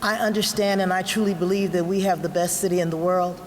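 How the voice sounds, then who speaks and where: A woman's voice: on the low side, fairly even intonation. A woman from Cleveland, a clinical social worker, giving an earnest statement as a new commission member. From Cleveland, USA. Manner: earnest, pausing for words. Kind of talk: formal public statement. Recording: echoey hall with background noise.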